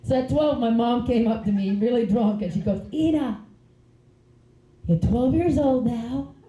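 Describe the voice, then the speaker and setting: A woman tells stand-up stories in a rambling way that keeps breaking into giggles. Her voice sits a bit high with a soft, mellow tone. The stage mic picks up an echoey club room around her. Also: unhurried, muffled recording. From Finland. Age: 30s. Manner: chatty, giggly, rambling asides. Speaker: stand-up comedian. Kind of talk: stand-up comedy set.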